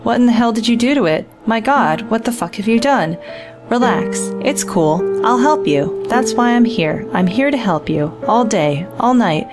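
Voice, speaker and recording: A woman's voice: a little high, expressive, normal speed, music in the background, voice pushed up, lightly acted. A woman voicing a sardonic, burned-out male tech support worker who rants in a mock-helpful, exasperated way.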